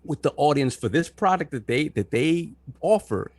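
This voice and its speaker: Husky guy hosting a gaming podcast, voice jumps around a lot, keeps saying right?. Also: a little high, expressive, moderate pace, darkish tone, no room sound. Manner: emphatic, tag questions, repeats words when worked up. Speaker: podcast host. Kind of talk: casual gaming podcast discussion.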